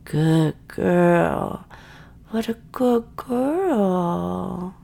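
Woman murmuring and whispering real slow, coaxing and doting, lots of vocal fry. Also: animated, mellow. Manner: breathy sighs and low murmurs. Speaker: audio roleplay performer. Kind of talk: intimate whispered audio roleplay.

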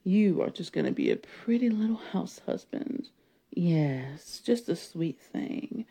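A woman performs a possessive, domineering wife with a husky, breathy voice. She speaks slowly and with sultry weight, and her melody rises and falls widely. She moves between hushed whispers and loud claims. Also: on the low side, expressive, exaggerated voice. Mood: tender, soothing. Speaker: audio roleplay performer. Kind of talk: solo audio roleplay.

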